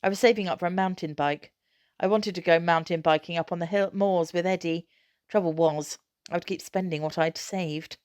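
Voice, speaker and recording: A woman reading a children's novel aloud as an expressive narrator. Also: lowish voice, moderate pace, smooth, dry recording, studio quality. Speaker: storybook reader.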